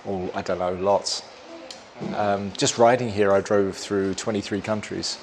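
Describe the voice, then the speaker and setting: A man's voice: on the low side, fairly even intonation, a little slow, slight background noise. A man, an English teacher answering interview questions in a dry, joking, self-deprecating way. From England. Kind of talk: casual interview.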